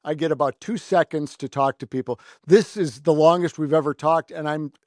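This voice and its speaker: A man's voice: on the high side, normal speed, no room sound, clean studio sound. An American man hosting a casual podcast interview in a chatty, informal, effusive way. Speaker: podcast host.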